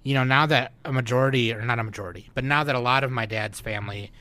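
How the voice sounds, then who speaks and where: A man's voice: medium pitch, fast, bright, forceful tone. A man co-hosting a podcast, chatting casually and rambling with lots of fillers and restarts. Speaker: podcast co-host. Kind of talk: casual chat.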